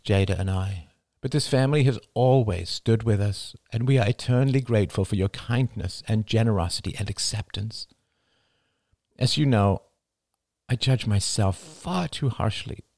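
A man's voice: lowish voice, gravelly, a little mellow, no echo, studio quality. A man voicing an emotional, self-critical middle-aged mother.

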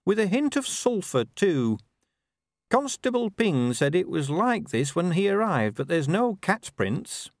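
A man voicing an earnest young female dwarf watch officer who reports in a brisk, eager way. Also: fairly low pitch, sing-song, no echo, clean studio sound, voice pushed up.

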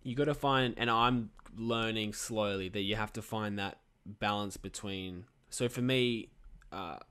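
A man's voice: fairly low pitch, a little slow, pushed and bright, clear, resonant tone. A teenage man with an Australian accent hosting a podcast, thinking aloud earnestly and restarting his sentences as he goes. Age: teens. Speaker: podcast host. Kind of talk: casual podcast chat.

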